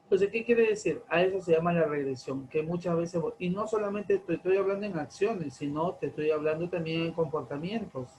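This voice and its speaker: A man, lecturing teenagers in class, slow and deliberate, stretching key terms and asking many rhetorical questions. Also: fairly high pitch, darkish tone, a little hiss or hum, poor audio. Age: 40s. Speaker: teacher.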